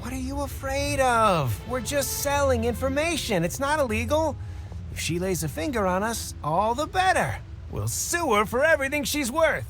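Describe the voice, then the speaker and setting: A pushy male swindler in an anime game, high-pitched and theatrical. He wheedles and bullies with big swings in pitch over loud music. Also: sing-song, normal speed, exaggerated voice. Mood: smug, menacing. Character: smarmy, pushy small-time swindler gang boss.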